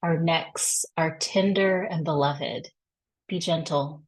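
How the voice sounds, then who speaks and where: A woman leading a guided body scan meditation, giving gentle, reassuring, unhurried instructions. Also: low-pitched. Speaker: guided practice facilitator.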